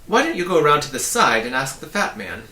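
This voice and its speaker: A man plays a storybook gentleman, proud and eager, with a bright, swooping delivery. He explains himself emphatically and at length, in a roomy recording. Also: fairly high pitch, sing-song, fast, voice pushed up. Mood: proud, brisk. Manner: emphatic, cheerfully self-important, rambling explanations. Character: ordinary-sized man claiming four size titles, brightly proud, eager. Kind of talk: storybook character reading. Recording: roomy, distant mic, faint noise.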